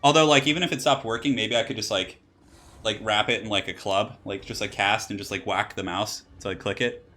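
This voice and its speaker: Fast-talking, slightly raspy male game caster. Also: fairly low pitch, steady tone, pushed and bright. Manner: rambling, casual, laughs and exclaims at plays. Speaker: esports caster and channel host. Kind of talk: solo game commentary.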